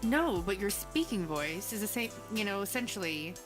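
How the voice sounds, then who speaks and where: A woman's voice: medium pitch, expressive, moderate pace, over music. A woman co-hosting a casual podcast chat, teasing and matter-of-fact. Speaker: podcast co-host.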